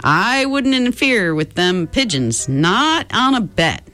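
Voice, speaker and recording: A woman's voice: mid-range voice, big pitch swings, slow pace, bright, forceful tone, soft background music, voice pushed up, full character voice. A woman voicing a wary, stubborn little workman in a story, with a folksy, colloquial way of talking.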